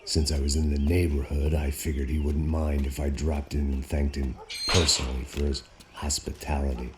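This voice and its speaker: An actor playing a world-weary, cynical middle-aged American ex-cop who narrates a crime game in a deadpan, sardonic voice. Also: male voice, a bass voice, normal speed, background noise. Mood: grim, dry. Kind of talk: noir voice-over narration.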